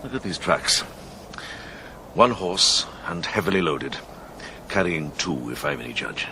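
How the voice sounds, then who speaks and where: A man acting in a radio drama as a thoughtful, devout medieval monk, measured and observant in his speech. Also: deep voice, big pitch swings, background noise, heavily acted. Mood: relieved, reflective.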